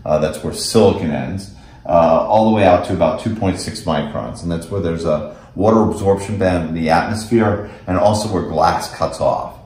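Male technical presenter, American, middle-aged, very low voice, recorded with plenty of room echo. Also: moderate pace, a little mellow. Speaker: company representative presenting technical products. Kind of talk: technical product demo and explanation. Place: indoors in a small office meeting room. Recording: echoey room.

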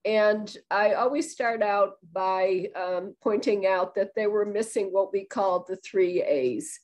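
A woman's voice: mid-range voice, slow, darkish tone. Nasal-sounding older woman with a cold. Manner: chatty, with frequent ums and restarts. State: has a cold. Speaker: guest historian. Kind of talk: casual lecture over video call. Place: home room indoors. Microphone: video call webcam.

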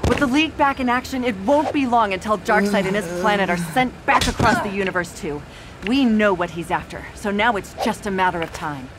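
A woman voicing a brash young TV news reporter in a video game, emphatic and excited. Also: medium pitch, a little fast, lightly acted. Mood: confident, excited. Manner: emphatic, indignant. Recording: clear noise behind.

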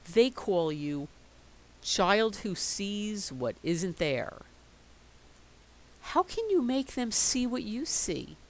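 Woman, middle-aged, picture book reader, unhurried delivery, animated intonation. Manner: slow, expressive reading with lively pitch. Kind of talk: read-aloud storytelling. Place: indoors at a table, reading a picture book.

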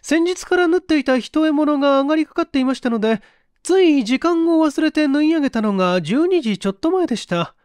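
A man's voice: very high voice, animated, darkish tone, no echo, clean studio sound, voice pushed up. A man voicing a polite, deferential young housemaid in an audiobook mystery, speaking in a formal, careful way. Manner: formal, humble, measured storytelling.

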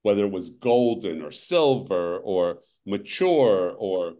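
An older man hosting a webinar over a video call, talking in a halting way that restarts phrases and sounds worn out. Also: low voice, expressive, warm tone. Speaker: webinar host. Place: home room, indoors.

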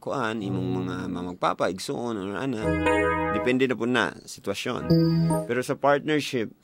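A man's voice: fairly low pitch, normal speed. A young man with a Cebuano Filipino accent, talking emphatically and conversationally as a radio host, close on a studio mic. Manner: emphatic, conversational, repeats words for stress. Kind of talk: live radio advice talk, switching between Cebuano and English. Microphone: close studio boom mic, headphones. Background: loud instrumental music with guitar and keyboard.

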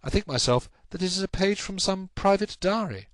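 A man voicing an anxious, helpless young gentleman in a storybook, recounting his troubles in an earnest, measured, formal way. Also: high-pitched, animated, no echo, voice pushed up. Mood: hesitant, earnest. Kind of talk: audiobook character acting.

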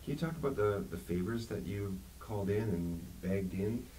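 A man's voice: fairly low pitch, animated, moderate pace, warm tone, poor audio. A middle-aged man hosting an interview in a small studio, asking playful questions on a condenser mic. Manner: playful, asks questions with jokes and laughs. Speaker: host interviewer. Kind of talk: casual interview chat. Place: small studio with grey backdrop. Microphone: studio condenser mic on stand.

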